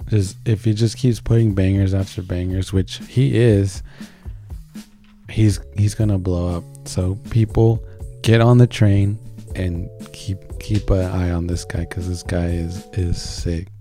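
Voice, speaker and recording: A man's voice: deep voice, steady tone, a little slow, mellow. A man co-hosting a music show, chatting informally and enthusiastically in slangy language as he introduces songs. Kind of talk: casual chat introducing songs.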